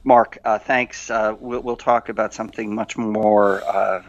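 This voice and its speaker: A man hosting a podcast in casual conversation, phrasing his thoughts tentatively and haltingly. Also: fairly low pitch, steady tone, lo-fi sound. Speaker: podcast host. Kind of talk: casual interview chat.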